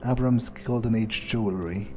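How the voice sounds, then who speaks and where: A man's voice: lowish voice, normal speed, soft background music. A man doing in-character roleplay commentary on a video game, musing and thinking aloud. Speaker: gameplay video host.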